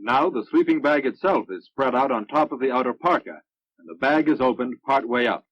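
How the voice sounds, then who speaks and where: An American man reading scripted narration for a military instructional film in a measured, instructive manner. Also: medium pitch, animated, no room sound. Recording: muffled, dry vintage film soundtrack.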